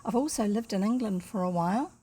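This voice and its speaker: Older Kiwi woman, husky voice, chatty bedtime-story reader who rambles. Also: mid-range voice, quick pace, dark tone. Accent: New Zealand. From New Zealand. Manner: chatty, rambling, interrupts herself to explain. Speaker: storyteller reading books aloud. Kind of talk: casual read-aloud with chatty asides. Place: home desk with a computer.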